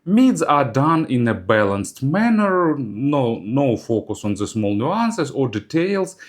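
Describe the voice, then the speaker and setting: A man narrating a hands-on product review as a reviewer, speaking in halting, hesitant phrases. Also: medium pitch, very animated.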